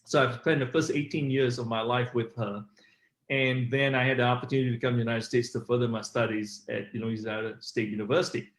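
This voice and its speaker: A man's voice: medium pitch, fairly even intonation, brisk. Rambling Malaysian-born man, roomy sound. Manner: rambling with many uh fillers. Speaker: author, guest. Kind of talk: conversational interview.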